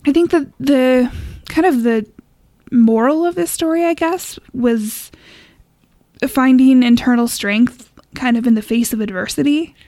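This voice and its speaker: Female podcast host, casual and reflective, higher-pitched with pronounced creak, close dry sound. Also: a little slow, mellow, no echo. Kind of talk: casual chat.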